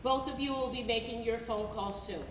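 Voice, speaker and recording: Woman acting a high-voiced, courteous prison warden. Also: a little fast, close to an everyday voice. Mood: polite, reassuring. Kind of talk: staged musical reading. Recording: reverberant hall, distant mic, faint noise.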